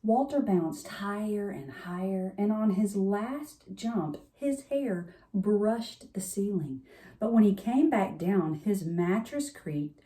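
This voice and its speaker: A woman's voice: mid-range voice, warm tone. A woman storyteller reading a children's picture book aloud at bedtime, expressively, with chatty asides.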